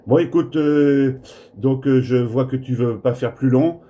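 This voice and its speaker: A man's voice: mid-range voice, a little slow, dark tone, dull audio. A man chatting casually as an amateur radio operator, hesitating and repeating words. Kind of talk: casual radio contact chat. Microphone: smartphone recording in the room.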